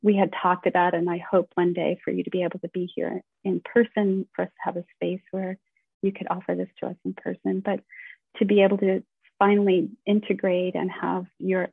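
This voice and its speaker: Gravelly, husky woman on a thin, phone-like line. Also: fairly low pitch. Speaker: host interviewing a guest teacher. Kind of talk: informal spoken introduction and questions. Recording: thin phone-like call audio, roomy echo.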